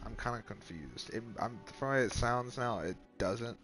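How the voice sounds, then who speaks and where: A man's voice: medium pitch, moderate pace, loud music behind the voice. A man giving informal, rambling gameplay commentary as he reacts to a video game story. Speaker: gaming streamer reacting to a story quest.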